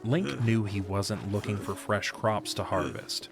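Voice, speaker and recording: A man narrates a fantasy audio play in a fairly low voice. His delivery is scripted and measured, like a storyteller's, with soft music underneath. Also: gravelly.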